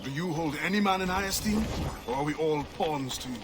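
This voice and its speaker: An actor playing a proud, guarded assassin who was once enslaved, speaking in a grave, formal, wary way in a video game cutscene. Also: male voice, fairly high pitch, lively intonation, fairly quick, over loud music, lightly acted. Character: proud, guarded freed-slave assassin, adult man.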